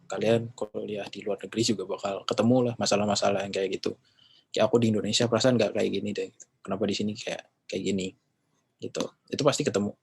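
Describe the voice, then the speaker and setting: Rambling, chatty lower-voiced man. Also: fairly even intonation, fast, gravelly, slight background noise. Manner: rambling, self-correcting, chatty fillers. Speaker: English class speaker. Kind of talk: casual online class talk.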